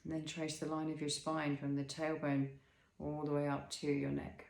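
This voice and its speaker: Creaky-voiced man guiding relaxation, roomy sound. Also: a little high, monotone. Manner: soothing, unhurried, with pauses and gentle questions. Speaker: Pilates instructor leading a guided body scan. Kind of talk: guided relaxation instruction.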